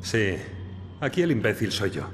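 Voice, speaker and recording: An actor playing the bewildered, sardonic ghost of a murdered police detective in a video game dub. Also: male voice, on the low side, big pitch swings, normal speed. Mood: sarcastic. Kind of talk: video game cutscene acting in Spanish dub. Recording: clear background ambience noise.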